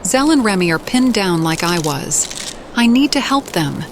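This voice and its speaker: A woman's voice: medium pitch, very animated, measured pace, slight background noise. A woman giving in-character role-play commentary over a video game, with game ambience behind her. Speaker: gaming video narrator playing in character.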